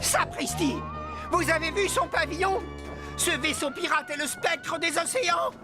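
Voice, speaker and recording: A woman playing an excitable, alert pirate lookout sidekick in an animated cartoon, full of exclamations. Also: very high voice, unhurried. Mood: excited. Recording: music behind the voice.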